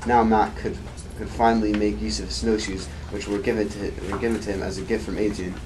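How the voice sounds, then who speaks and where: Male narrator, quick hesitant reading, fairly low voice, noisy recording. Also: flat delivery. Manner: hesitant, with frequent restarts. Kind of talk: reading narration for an amateur puppet show.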